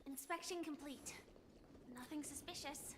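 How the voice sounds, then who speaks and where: A woman's voice: high-pitched, a little slow, background noise. An actress playing a female fighter in a video game, calling out short theatrical lines. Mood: matter-of-fact. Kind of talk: video game battle barks.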